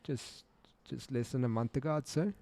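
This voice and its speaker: Laid-back South African man, mellow voice. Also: medium pitch, moderate pace, vocal fry. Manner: laid-back, joking, laughs often, slangy. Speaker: livestream trading host. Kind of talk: casual live-stream chat.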